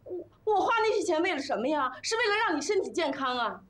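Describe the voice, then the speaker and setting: An elderly wife in a TV drama, devoted but aggrieved. She protests plaintively in a shrill, extremely high voice that rises and falls sharply. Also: sing-song, fast. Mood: indignant. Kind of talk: naturalistic TV drama acting.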